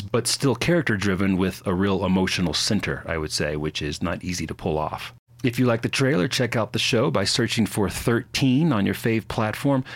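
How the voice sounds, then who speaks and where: A man hosting a podcast, reading announcements in an informal, conversational way. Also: lowish voice. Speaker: podcast host. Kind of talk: casual scripted announcements.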